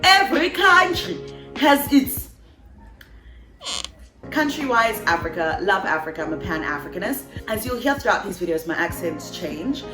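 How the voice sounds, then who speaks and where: A woman's voice: medium pitch, very animated, normal speed, music in the background. Bubbly Cameroonian woman in an echoey room. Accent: Cameroonian English, shifting toward British. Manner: bubbly, laughs often, playful asides. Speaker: vlogger and voiceover artist. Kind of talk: casual chat to camera.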